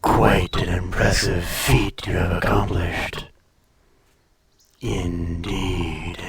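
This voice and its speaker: A man playing an ancient, proud dragon in a fantasy audio roleplay, speaking formally and deliberately with a calm menace. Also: low voice, exaggerated voice. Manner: slow, formal, deliberate speech with long pauses. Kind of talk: scripted fantasy audio roleplay, addressed to listener.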